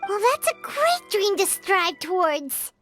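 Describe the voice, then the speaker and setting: A woman voicing a tiny, eager, childlike fairy companion in a video game, squeaky and perky. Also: big pitch swings, measured pace, fairly bright tone, heavily acted. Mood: supportive. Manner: squeaky, perky, refers to self by name. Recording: studio voice under prominent game music.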